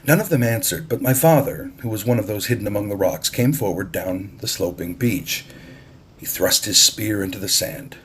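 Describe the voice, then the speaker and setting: A man narrating an audiobook in a deliberate, expressive storytelling style. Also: fairly low pitch, slight background noise.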